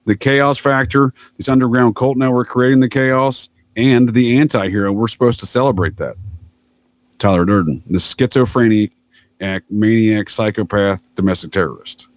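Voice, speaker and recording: A man's voice: mid-range voice, moderate pace, dry recording. Middle-aged man, emphatic and rambling, close dry sound. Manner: emphatic, rambling, swears freely. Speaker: podcast guest, retired military master sergeant. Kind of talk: casual opinionated podcast conversation.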